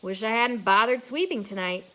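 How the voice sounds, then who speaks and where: A woman's voice: on the high side, expressive, normal speed, bright, forceful tone. A woman voicing a weary, dismissive father in a storybook, with an exasperated manner. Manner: exasperated, sighing. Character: weary, dismissive father who works as a cleaner.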